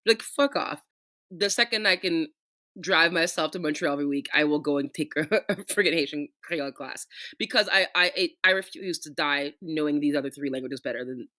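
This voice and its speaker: Bright-voiced, fired-up woman podcaster. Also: low voice, brisk. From Canada. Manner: impassioned, profane, ranting. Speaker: podcast co-host. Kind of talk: casual podcast chat.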